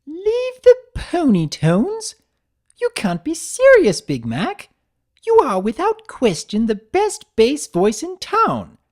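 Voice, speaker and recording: A prim, dramatic female unicorn seamstress, as a woman reader puts her on. Her voice climbs high and swoops widely as she lays emphasis on every refined phrase. It is a storybook character voice with lively, sweeping inflection. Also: slow, full character voice. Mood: gushing. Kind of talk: dramatic audiobook reading.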